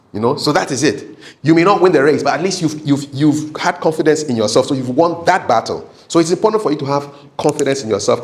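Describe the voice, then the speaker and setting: A man's voice: mid-range voice, a little mellow. A man giving a live training talk as a public speaking coach, conversational and instructive, repeating key points for emphasis and often checking in with short tag questions. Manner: emphatic, repetitive, checks in with "okay?".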